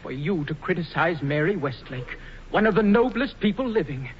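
Indignant young male playwright played by a man, high voice swinging widely, self-important and petulant, vintage radio comedy. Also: lively intonation, moderate pace, natural voice. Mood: outraged, wounded. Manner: theatrical, petulant. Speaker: radio drama actor. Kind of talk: 1940s radio stage-comedy acting. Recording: muffled, noisy 1940s radio broadcast.

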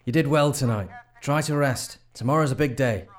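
A man's voice: mid-range voice, normal speed, natural voice. A man playing a deferential, caring young assistant in a radio drama, polite and soothing. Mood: gentle.

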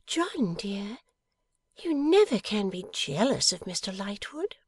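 A woman's voice: mid-range voice, very animated, slow, slightly rough, no room sound, studio quality, voice pushed up, heavily acted. A woman voicing a pouting, affectionate young wife in a storybook, coaxing and emphatic. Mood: pouting surprise. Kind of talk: dramatised audiobook character.

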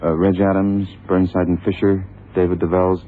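An actor in a vintage radio drama playing an eager, easygoing detective's sidekick with a colloquial, folksy manner. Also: male voice, deep voice, little pitch movement, normal speed, lightly acted. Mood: obliging. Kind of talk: 1960s-70s radio drama acting. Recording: tinny, noisy old radio broadcast.

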